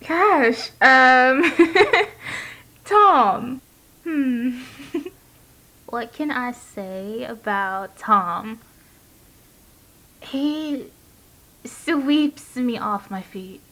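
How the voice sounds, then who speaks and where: A woman playing a gentle, earnest young waitress, confiding hesitantly in an audio drama monologue. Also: sits high, animated, very slow, long pauses, heavily acted. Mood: giddy, smitten.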